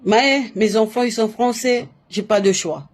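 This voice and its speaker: A woman's voice: a little high, measured pace. Emphatic woman from Latin America. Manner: emphatic, repetitive, uses many fillers and restarts. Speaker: spiritual live-streamer. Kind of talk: casual live-stream monologue.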